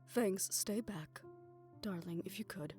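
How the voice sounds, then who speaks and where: Woman acting a composed, authoritative aunt, mid-pitched. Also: music in the background, close to an everyday voice. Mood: stern. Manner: measured, formal, stern. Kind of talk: audio roleplay drama.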